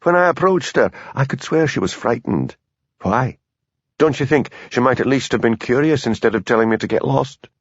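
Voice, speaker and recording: Highland Scottish constable, nervous, earnest, a man voicing him, dry, muffled lo-fi sound. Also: lively intonation, normal speed, slightly rough, dry recording. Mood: pleading. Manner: earnest, pleading.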